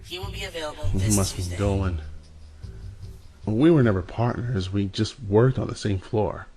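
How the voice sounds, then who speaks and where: A man's voice: lowish voice, slow, warm tone, soft background music. A man playing a wry, businesslike former field agent in an audio drama, with a dry delivery. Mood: matter-of-fact. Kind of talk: amateur audio drama acting.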